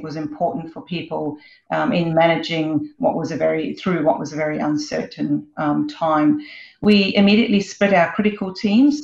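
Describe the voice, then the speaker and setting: A woman executive giving a measured, composed answer on a webinar panel over a video call. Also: on the low side. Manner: measured, composed corporate delivery. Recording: roomy, dull video-call audio.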